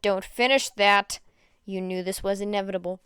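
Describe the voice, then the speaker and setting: A weary, unimpressed male teacher, as a woman narrator puts him on in a fan fiction reading. The delivery is dry and deadpan, the sound clean and close with no room echo. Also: mid-range voice, normal speed, clean tone, close to an everyday voice. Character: tired, deadpan male high school teacher.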